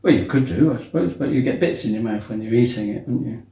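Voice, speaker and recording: A man's voice: medium pitch, fast, gravelly, mellow. Chatty man cooking. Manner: chatty, jokey, narrates each step. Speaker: guest cook. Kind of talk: cooking demonstration chat.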